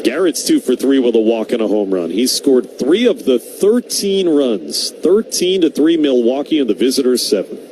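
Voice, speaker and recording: A man's voice: medium pitch, big pitch swings, moderate pace, few pauses. A man calling live baseball play-by-play as a radio announcer. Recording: clear background noise, outdoor ballpark ambience.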